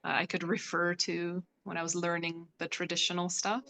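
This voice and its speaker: A woman's voice: fairly low pitch, little pitch movement, brisk, sharp tone, a little hiss or hum, low-quality recording. A woman from Poland, a classical singer, talking casually as an interview guest with frequent "like" fillers. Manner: chatty, many "like" fillers.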